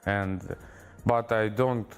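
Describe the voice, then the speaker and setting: A man from Kazakhstan, an esports coach answering interview questions in halting English, with many fillers. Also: lowish voice, steady tone. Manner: halting non-native English with many fillers and back-channel mm-hmms.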